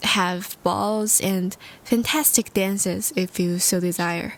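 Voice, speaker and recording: Woman playing a slow-spoken, courtly lovestruck vampire. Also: medium pitch. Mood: pleading, devoted. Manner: formal old-fashioned wording, hesitant, earnest. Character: polite, lovestruck ancient female vampire, courtly and pleading. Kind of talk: scripted roleplay audio drama, intimate monologue.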